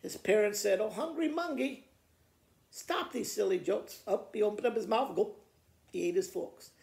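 A man in his sixties with a gravelly voice, reading children's poems aloud like a school principal to students, in a living room with the camera a little way off. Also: high-pitched, normal speed, darkish tone. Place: living room by a fireplace, indoors. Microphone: camera a short distance away. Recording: roomy indoor echo.

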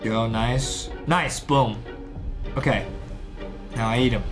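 A man in his twenties doing excitable gameplay commentary, repeating phrases and addressing the viewers as he hosts. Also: mid-range voice, slow pace. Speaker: gaming video host. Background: music.

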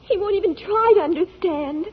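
Upset young woman, very high voice. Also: moderate pace. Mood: tearful pleading. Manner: earnest, tearful, high-strung. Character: young aspiring opera singer, upset, ambitious. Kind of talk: 1940s radio acting. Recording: muffled 1940s radio recording.

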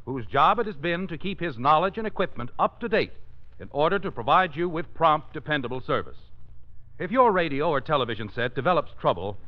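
A man announcing on 1950s radio with a polished, emphatic sales delivery. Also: on the high side. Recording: dull 1950s radio recording.

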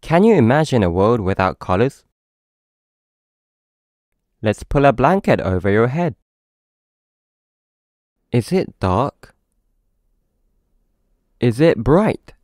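A man's voice: medium pitch, very animated, takes it slowly, pauses often, darkish tone, no echo, clean studio sound. A man with a British accent reading a children's story aloud, expressively, as if to a young child. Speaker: storybook narrator.

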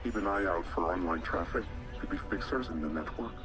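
Cool, cautious game hacker, deep phone voice, matter-of-fact, with clear music underneath. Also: male voice, fairly quick. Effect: phone call. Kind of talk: video game cinematic acting. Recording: phone call over music.

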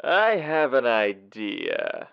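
A man playing a sinister, jovial radio demon with genteel, theatrical, drawn-out phrasing in a cartoon comic dub. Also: mid-range voice, huge pitch swings, vocal fry, clean tone, lo-fi sound, full character voice. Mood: smug menace. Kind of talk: animated comic dub acting.

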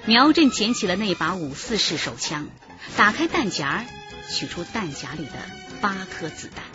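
A woman's voice: medium pitch, sing-song, normal speed, natural voice. A woman narrating a tense police radio drama in a dramatic, scripted storytelling style. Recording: muffled radio drama with music underneath.

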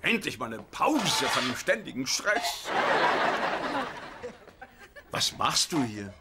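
Animated, fussy old sitcom father. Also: medium pitch, takes it slowly. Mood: fussy, grumbling. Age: older. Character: eccentric, thrifty, grumbling old father. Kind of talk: dubbed sitcom dialogue. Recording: noisy audio with clicks.

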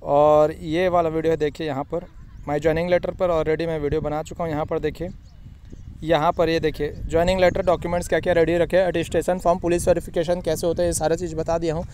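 Young male coaching host, high and quick, informal explainer on a phone outdoors, faint traffic behind. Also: level tone, darkish tone. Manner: brisk, repeatedly addresses viewers as friends. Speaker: exam coaching channel host. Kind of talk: fast informal exam-update explainer. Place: outdoors beside a railway track.

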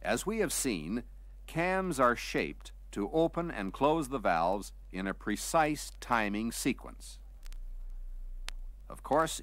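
A man reading a scripted vintage training film narration in a measured, clearly articulated, explanatory manner. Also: medium pitch, a bit bright, no room sound.

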